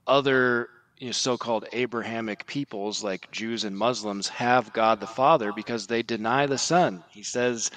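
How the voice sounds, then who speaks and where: A man's voice: medium pitch, fairly bright tone, dry recording, poor audio. A man speaking as a podcast guest, quoting and explaining religious matters in an earnest, disapproving manner.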